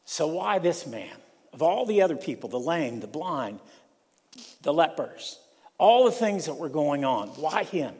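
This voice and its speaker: An older man from Ohio preaching a sermon in a church, slow and emphatic, repeating key lines. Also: fairly high pitch, sing-song, darkish tone. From Ohio, USA. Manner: slow, emphatic preaching with repetition and rising intensity. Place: church sanctuary. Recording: long hall reverb.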